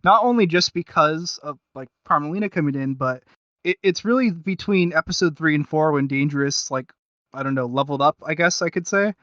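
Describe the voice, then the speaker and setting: A man's voice: on the high side, fairly quick, fairly bright tone, no room sound, dull audio. A man co-hosting a casual review podcast, rambling and hesitant as he searches for his words. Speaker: podcast co-host reviewing audio series.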